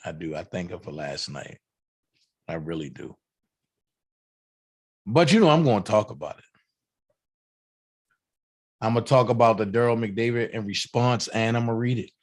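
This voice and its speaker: A hoarse man hosting a livestream, chatting casually and hyping up shout-outs to fellow channels. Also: low-pitched, no room sound. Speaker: livestream host.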